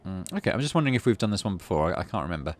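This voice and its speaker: A man's voice: sits low, very animated, rapid-fire. A man hosting a gaming video, casually narrating and commenting as he thinks aloud. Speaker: gaming channel host.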